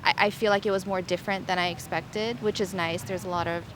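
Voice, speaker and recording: Young woman from the US, relaxed chatty interview, filler words, traffic behind. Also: lowish voice, fast talker. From United States. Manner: casual, uses many likes and ums. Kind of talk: casual outdoor interview. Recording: street noise with traffic.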